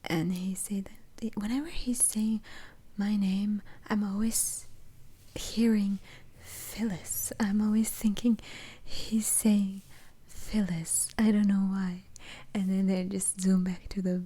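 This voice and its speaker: A woman's voice: medium pitch, little pitch movement, slow pace, dark tone, husky. A woman whispering close to the mic in a casual ASMR chat, giggling often and rambling with fillers.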